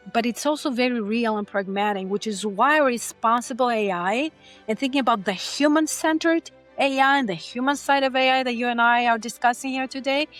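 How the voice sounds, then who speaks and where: A woman's voice: fairly high pitch, fairly bright tone. Earnest woman from Greece explaining carefully. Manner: earnest and explanatory, with frequent restarts. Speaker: podcast guest. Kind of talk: conversational interview.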